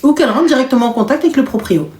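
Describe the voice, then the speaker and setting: A woman's voice: high-pitched, lively intonation, very fast, darkish tone, reverberant. A woman hosting a live call-in chat, giving advice bluntly and familiarly, with slang and terms of endearment. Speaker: live show host giving callers advice.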